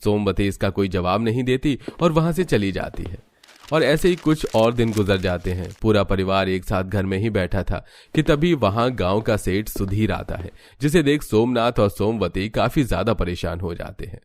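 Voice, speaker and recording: A man narrating an animated family story in a steady, even storytelling delivery. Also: fairly low pitch, moderate pace, no room sound. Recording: music under the voice.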